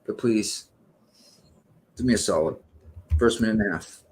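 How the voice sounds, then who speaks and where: A man's voice: on the low side, moderate pace, a little mellow, slightly rough. A man co-hosting a casual live sports stream, joking and swearing loosely as he talks about his bets. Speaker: podcast co-host. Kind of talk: casual sports watch-along chat.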